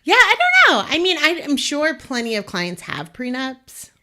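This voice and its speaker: Woman guest, loud and bright, gushy talker, lots of "like". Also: high voice, wildly swooping pitch, moderate pace. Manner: enthusiastic, gushing, filler-heavy "like". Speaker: wedding photographer, guest. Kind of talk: casual interview chat.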